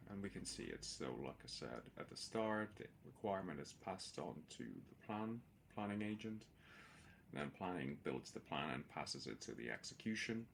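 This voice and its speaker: A man with a low, gravelly voice walks through a software demonstration as an informal tutorial. He speaks haltingly, pausing often to fill gaps as he explains each step. A faint noise sits behind his voice. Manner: hesitant, halting explanation with many filled pauses. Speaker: presenter of a software demo. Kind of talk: screen-share tutorial narration, unscripted.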